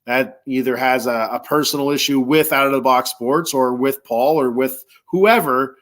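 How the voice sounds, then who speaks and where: A man with a stuffy nose hosts a live sports talk stream in a mid-pitched voice. He rambles loosely from thought to thought, bluntly and with plenty of casual swearing. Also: moderate pace, a bit bright. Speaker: sports talk show host.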